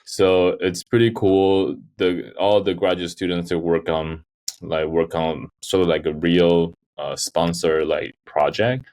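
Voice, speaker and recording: Podcast guest, man from Taiwan, very low voice, chatty and loose. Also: steady tone, unhurried, darkish tone. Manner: rambling, many "like" fillers, laughs often. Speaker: guest, design researcher and teaching assistant. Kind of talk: casual interview chat.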